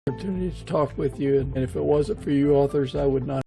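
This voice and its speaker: A man's voice: mid-range voice, fast talker, gravelly, dark tone, soft background music. A man speaking informally and earnestly as the host of an interview podcast. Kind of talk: casual interview and informal prayer.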